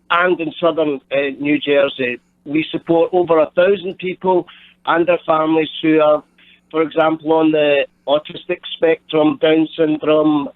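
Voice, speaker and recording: A man's voice: on the high side, no echo. A Scottish man calling in to a radio show, explaining a charity golf event and repeating words as he searches for them. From Scotland. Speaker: charity tournament director, phone guest. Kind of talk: radio phone interview. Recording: tinny phone line.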